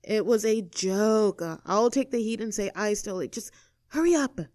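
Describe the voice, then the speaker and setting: A woman playing a sarcastic, standoffish young mean girl with a soft side in an audio roleplay, talking in a casual, teasing, blunt way. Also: medium pitch, expressive, moderate pace, dry recording, lightly acted. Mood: teasing, impatient. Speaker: voice actor. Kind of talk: scripted audio roleplay, naturalistic one-sided dialogue.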